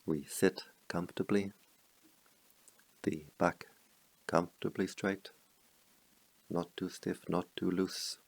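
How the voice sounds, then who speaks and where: A middle-aged man, a meditation teacher, guiding a slow, calm meditation in a softly spoken voice with long pauses. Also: creaky, rough recording. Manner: chants Pali verses in a low murmur. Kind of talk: guided meditation.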